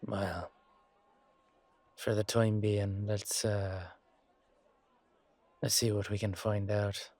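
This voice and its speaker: A man playing a weary, down-to-earth knight guardsman in a fantasy audio drama, musing colloquially to his partner with hesitant pauses. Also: fairly low pitch, little pitch movement, darkish tone, dry recording. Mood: thoughtful, suspicious. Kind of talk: roleplay audio drama monologue addressed to a partner.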